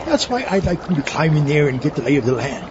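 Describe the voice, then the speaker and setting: A conniving mad inventor, played by a man, talks in a fairly high voice with lively inflection. He is sarcastic and callous in a matter-of-fact way, in a comedy skit with noise behind. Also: fast, lo-fi sound. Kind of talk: scripted comic audio skit.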